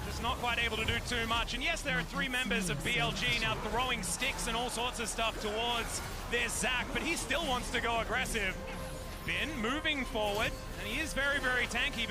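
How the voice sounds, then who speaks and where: A man giving live play-by-play commentary on an esports match, narrating the action in an excitable, flowing way. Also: high-pitched.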